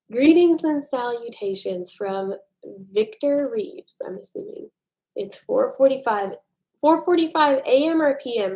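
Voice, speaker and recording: A woman's voice: medium pitch, dark tone, low-quality recording. A woman from the United States chatting casually with viewers on a livestream, reading their comments aloud and joking at her own expense. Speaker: livestream host. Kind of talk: casual live chat with viewers. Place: home at night.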